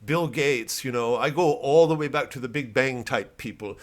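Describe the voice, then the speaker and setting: A man acts out a cocky male tycoon in a comedy sketch, pitched up high and pushed loud. He boasts in a crude, brash way, like a new breed of businessman with no use for manners. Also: normal speed, natural voice. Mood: cocky, excited. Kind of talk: satirical comedy character bit.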